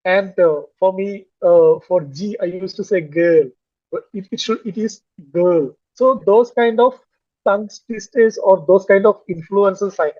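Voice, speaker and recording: A man from Kerala with a Malayali Indian English accent, talking haltingly with many fillers and restarts about learning English. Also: high-pitched, no echo, highs cut off. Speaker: English learner, finance manager. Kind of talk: casual conversation on a video call.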